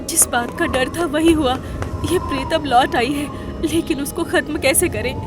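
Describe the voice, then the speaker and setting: Casting: a woman for an anxious young married heroine in horror animation, high voice, music underneath. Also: normal speed, lightly acted. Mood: worried. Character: frightened, pleading young married woman in peril. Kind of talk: animated horror story acting.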